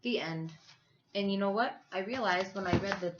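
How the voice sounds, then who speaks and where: A woman teacher reading a children's story aloud, with expressive, emphatic delivery and drawn-out words. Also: medium pitch, lo-fi sound, noisy background.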